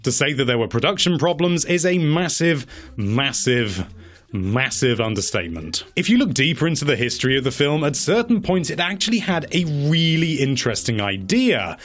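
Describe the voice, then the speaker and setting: A man presenting a countdown in a casual, opinionated commentary style, wry and given to mock-dramatic emphasis and drawn-out words. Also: medium pitch, sing-song, a bit bright. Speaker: presenter of a video game movie countdown.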